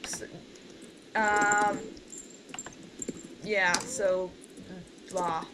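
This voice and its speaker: A woman's voice: medium pitch, fairly even intonation, extremely slow, background noise. A woman hosting a casual livestream over a voice call, informal and rambling, with a bossy streak. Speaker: host and leader of an amateur radio play group. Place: online voice call.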